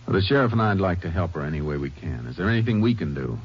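An actor playing a laconic Texas Ranger who investigates and narrates, in a measured American voice in 1950s radio drama style. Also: male voice, low-pitched, very animated, slightly rough, full character voice. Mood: calm, serious. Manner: measured, matter-of-fact. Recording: muffled old radio recording, music behind.

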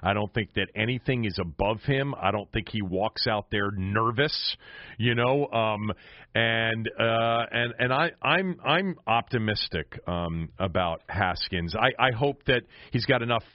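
A man's voice: fairly low pitch, measured pace, no echo. A man hosting conversational sports talk, restarting and repeating phrases as he works through a thought, with slightly dull audio. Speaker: sports show host.

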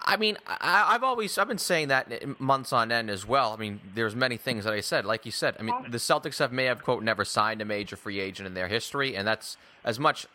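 A man's voice: mid-range voice, lively intonation, brisk, few pauses, pushed and bright. A man hosting a sports discussion, giving wordy opinions and building long arguments in a conversational way. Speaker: sports show host.

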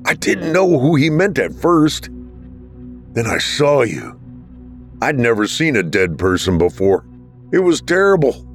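A man voicing a worn-out, desperate handyman who pleads and explains, pitched well above his own voice. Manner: weary, pleading.

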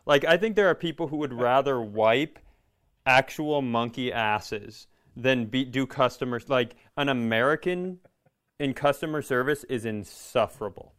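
A man in casual comic banter as a podcast co-host, opinionated and quick to swear. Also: mid-range voice, fairly bright tone. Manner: opinionated, profane. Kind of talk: casual comedic banter and ranting.

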